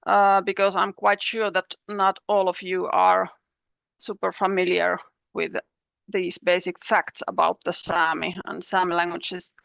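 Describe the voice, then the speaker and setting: A woman's voice: medium pitch, creaky, pushed and bright, clean tone, dry recording. Woman giving an academic talk. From Finland. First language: Finnish. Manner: measured and explanatory, with frequent pauses and restarts. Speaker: professor, panelist. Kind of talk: academic presentation. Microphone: video call.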